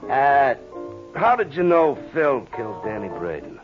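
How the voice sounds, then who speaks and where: An actor playing a grumbling, skeptical police lieutenant in a vintage radio detective play, bantering and querulous. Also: male voice, mid-range voice, sing-song, measured pace. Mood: skeptical, puzzled. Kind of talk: 1950s radio detective drama acting. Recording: muffled old radio recording, faint music.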